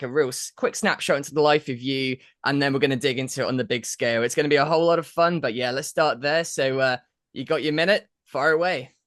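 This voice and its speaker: A man's voice: fairly high pitch, fairly bright tone, no room sound, studio quality. A young man hosting a podcast, enthusiastic and rambling in his questions. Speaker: podcast host. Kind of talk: upbeat interview hosting.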